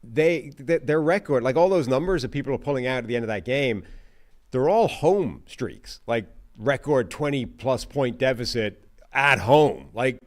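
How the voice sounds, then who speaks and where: A man co-hosting a sports podcast, giving casual, emphatic football analysis with lots of "like" and "right?". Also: moderate pace. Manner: animated, emphatic, pitch jumping on key points; frequent fillers like "like" and "right?". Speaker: co-host.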